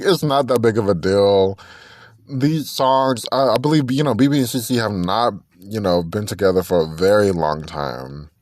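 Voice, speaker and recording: A man in his twenties chats through a solo podcast episode in a loose, rambling way, often correcting himself mid-sentence. His pitch swings widely as he talks. The close mic gives a dry sound with no room echo. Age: 20s. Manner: chatty, rambling, self-correcting, sings snatches of songs. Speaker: podcast host. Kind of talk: casual solo podcast chat.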